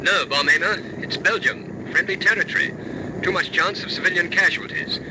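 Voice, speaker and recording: An actor playing a decisive bomber captain in a wartime radio drama, giving clipped, commanding orders over droning engines. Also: male voice, high-pitched, normal speed, lightly acted. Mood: firm, frustrated. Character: decisive bomber captain, adult man, firm under pressure. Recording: loud aircraft engine noise.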